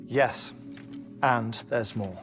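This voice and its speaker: A man playing a calm, cerebral scientist in a video game cutscene, explaining things in a measured, precise way. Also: mid-range voice, over music. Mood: analytical.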